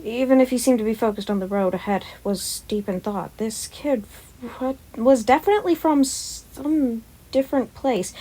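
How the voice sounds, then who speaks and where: Expressive woman reading a story aloud. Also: mid-range voice, poor audio. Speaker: fan fiction narrator.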